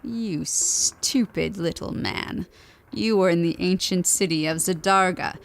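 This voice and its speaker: A woman's voice: lowish voice, a little slow, gravelly. An actress playing an ancient, proud warrior woman of a lost city in an audio drama, solemn, formal and imperious. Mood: scornful, threatening. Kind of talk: audio adventure drama acting.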